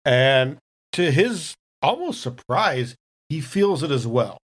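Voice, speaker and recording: A man with a middling-pitched voice talks as a player in a tabletop roleplaying game. He describes his character's moves in a casual, improvised way, thinking as he goes. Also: big pitch swings, takes it slowly.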